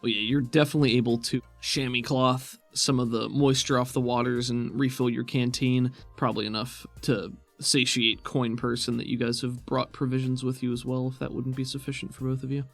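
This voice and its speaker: Guy game master, creaky voice, easygoing explaining dice rules, soft music under him. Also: mid-range voice, flat delivery, fairly quick, hardly any pauses. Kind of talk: running a tabletop roleplaying game, explaining rules.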